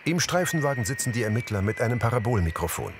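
A man's voice: mid-range voice, sing-song, fast talker, noisy background. A man narrating scenes as an audio describer for a scripted television crime comedy.

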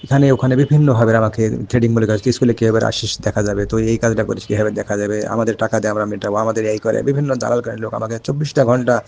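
A man's voice: lowish voice, little pitch movement, quick pace, gravelly, hardly any pauses, a little mellow. A man giving a spontaneous account as a news interviewee.

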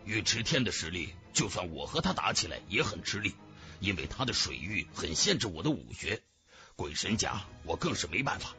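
Audiobook ally by male reader: male warrior, sincere, respectful, measured, pitched down. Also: very animated, sharp tone, soft background music, heavily acted. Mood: earnest, measured. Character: frank, respectful male warrior comrade, openly impressed. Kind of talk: fantasy audiobook character voice.

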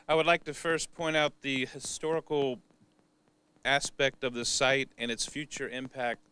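A man's voice: medium pitch, a bit bright, smooth. An older American man, a board chair, reading prepared public remarks at a podium. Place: open parking lot outdoors. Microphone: podium microphones.

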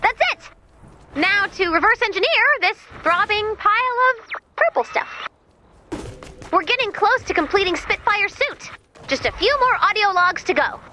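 A woman's voice: very high-pitched, lively intonation, moderate pace, bright, forceful tone, slight background noise, exaggerated voice. A woman playing an enthusiastic, quippy, teasing female engineer who guides missions in a video game. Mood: eager, focused. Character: peppy, geeky female engineer guiding a mission. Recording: game music behind the voice.

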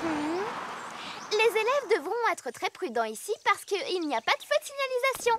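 An actor voicing a bright, sensible little schoolgirl in a dubbed children's cartoon. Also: very high-pitched, big pitch swings, fairly quick, noisy background. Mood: thoughtful. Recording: loud music behind.

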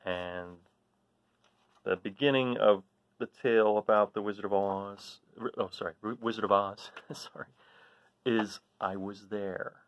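A man's voice: fairly low pitch, fairly even intonation, clear, resonant tone, dry recording. A man in his forties explaining a children's book as a read-aloud host, often correcting himself. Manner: halting, self-correcting. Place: small room at home, indoors. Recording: dull lo-fi device microphone.

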